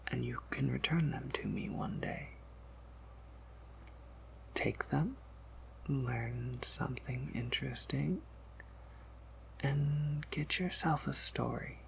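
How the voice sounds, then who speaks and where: Male performer as courteous vampire apothecary keeper, ASMR roleplay: unhurried, heavily creaky whisper, gentle, formal, quietly amused. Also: mid-range voice, slight background noise, heavily acted, rough recording. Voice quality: breathy whisper with gravelly creak.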